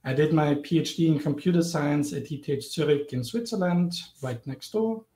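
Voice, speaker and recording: Austrian guy giving an online lecture, clear and a bit jokey, echoey call audio. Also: a little high, moderate pace, mellow. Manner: clear, explanatory, lightly humorous. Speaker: guest lecturer, professor. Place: indoors, home or office, virtual background. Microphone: video call webcam. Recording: roomy video call audio.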